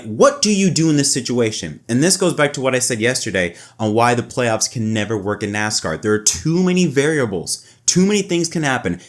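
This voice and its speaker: A man hosting a motorsport video, giving opinionated race analysis in an emphatic way that often repeats phrases. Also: mid-range voice. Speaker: motorsport commentary video host.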